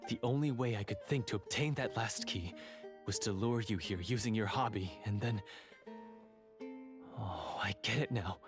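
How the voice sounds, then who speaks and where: An actor playing a young, guilt-torn knight in a game cutscene, earnest and hesitant, stammering at times. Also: male voice, lowish voice, normal speed, music in the background, close to an everyday voice. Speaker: game character.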